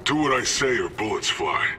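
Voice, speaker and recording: Cocky low-voiced mercenary gunman. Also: sing-song, normal speed, over music. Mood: cocky, threatening. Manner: dry, smug, threatening. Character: cocky male mercenary gunman, wisecracking hired killer. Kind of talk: video game character acting.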